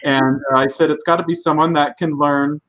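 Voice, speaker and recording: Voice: mid-range voice, steady tone, a little fast, a bit bright, muffled recording. A host on a video call chatting casually, a little rambling, recalling a story. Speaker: director and host. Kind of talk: casual chat.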